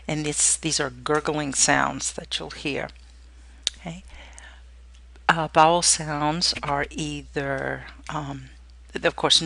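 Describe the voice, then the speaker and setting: A woman's voice: low-pitched, creaky, a bit bright, no echo. A woman giving an instructional clinical lecture, explaining step by step and often checking in with "okay". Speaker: nursing or clinical skills instructor.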